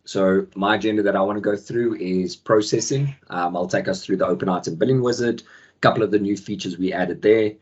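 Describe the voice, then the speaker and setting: A man with a deep, husky voice, croaky from the flu, hosts a product webinar over a video call. He talks casually, full of ums and filler. The call audio sounds muffled. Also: brisk. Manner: relaxed, many ums, friendly filler words. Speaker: webinar host and product manager. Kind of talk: casual software webinar presentation. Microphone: video call microphone.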